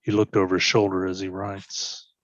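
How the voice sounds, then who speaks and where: Low-voiced man reading stage directions. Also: flat delivery, moderate pace, dry recording, lo-fi sound. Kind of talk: scripted narration in present tense.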